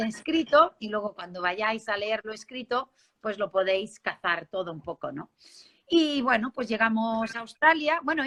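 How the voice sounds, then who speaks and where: Bright, high-voiced woman with Barcelona accent. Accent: Spanish from Barcelona. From Spain, Barcelona. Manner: conversational, seeks agreement with tag questions. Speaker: interview guest telling her life story. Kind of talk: informal autobiographical storytelling.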